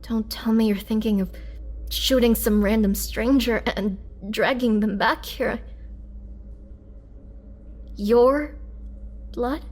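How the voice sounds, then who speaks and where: Slow, weakened vampire girl, acted by a woman. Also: fairly high pitch, darkish tone. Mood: hesitant, surprised. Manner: hesitant, halting, earnest. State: weak, drained. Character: weakened imprisoned female vampire, gentle, polite, pleading. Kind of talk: girlfriend roleplay audio drama, intimate acting.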